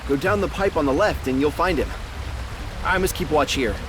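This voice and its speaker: Man as a high-voiced, earnest animal plumber. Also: normal speed, noisy background, lightly acted. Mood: helpful. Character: practical, friendly animal plumber, dutiful older brother. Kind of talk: video game voice acting.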